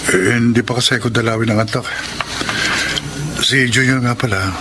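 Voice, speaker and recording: A man's voice: medium pitch, steady tone, moderate pace, very noisy, natural voice. An actor playing a sad, devoted husband and father in a radio drama, tender and melancholy. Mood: tender sorrow. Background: loud music.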